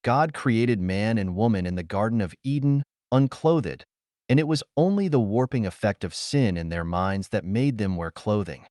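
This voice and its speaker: A man's voice: lowish voice, lively intonation, normal speed, dark tone, no echo, natural voice. A man voicing a cool, unflappable young man in an audiobook, nonchalant and dry-witted. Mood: agreeable.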